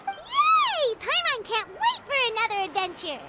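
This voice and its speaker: Tiny floating girl companion, super squeaky and bouncy, music loud behind. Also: measured pace, heavy background noise, full character voice. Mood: excited. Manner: high, squeaky, excitable. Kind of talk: video game voice acting.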